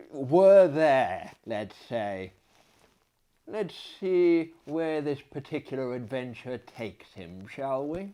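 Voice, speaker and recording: A man reading a literary story aloud as a theatrical storyteller, deliberate and with frequent hesitations. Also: mid-range voice, warm tone, clear, resonant tone. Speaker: storyteller and performer.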